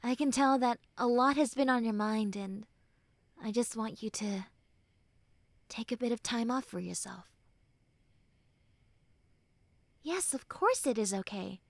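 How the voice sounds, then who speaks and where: A woman playing a normally mean young tsundere who turns tender and caring, speaking haltingly and earnestly to the listener in an intimate roleplay. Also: fairly high pitch, no room sound, close to an everyday voice. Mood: soft, soothing. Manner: halting, bashful, earnest, soft and breathy when consoling. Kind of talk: intimate roleplay audio drama, spoken to the listener.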